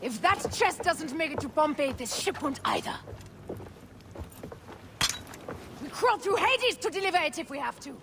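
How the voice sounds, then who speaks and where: A determined queen's envoy in an ancient-world game, a little high in pitch. She is firm and formal, with clear boat noise behind her. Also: expressive, brisk. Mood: stern. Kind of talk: dramatic video game acting. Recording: noisy, with boat sounds behind.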